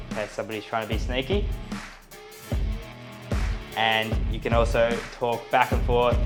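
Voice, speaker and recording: A young man, an electrician, giving a casual and easygoing explanation of a job to camera. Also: medium pitch. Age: young adult. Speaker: electrician presenting an installation. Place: indoors in a house. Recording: faint music behind the voice.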